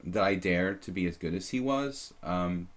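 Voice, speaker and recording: A man's voice: deep voice, normal speed. A man in his thirties casually commenting on his own chess games on a live stream, rambling and self-deprecating, with a slight leftover cough. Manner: rambling, self-deprecating, many fillers and restarts.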